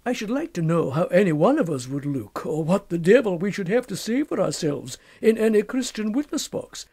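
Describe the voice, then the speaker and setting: A man's voice: high-pitched, very animated, normal speed, mellow, breathy edge, heavily acted. Storybook role: worldly, unscrupulous young male doctor, self-assured, very varied intonation, acted by a man. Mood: coolly persuasive. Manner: offhand, worldly, persuasive.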